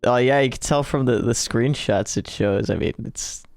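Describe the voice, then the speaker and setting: A man's voice: medium pitch, normal speed, creaky, dry recording, clean studio sound, faint music behind. A man hosting a gaming video, switching between casual joking chat with a friend and reading a visual novel's narration aloud.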